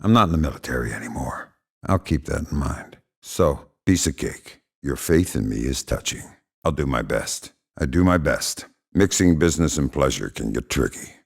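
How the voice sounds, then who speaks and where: An actor playing a hardened middle-aged spy in a video game, gravelly, terse and deadpan, with dry sarcasm and menace. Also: male voice, very deep voice, full character voice. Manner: terse, deadpan, dryly threatening.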